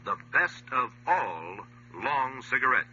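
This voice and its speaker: A male commercial announcer with a sharp, forceful voice and lively, swinging intonation. He sells in scripted 1950s radio style on a dull old recording. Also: mid-range voice, takes it slowly, a little hiss or hum.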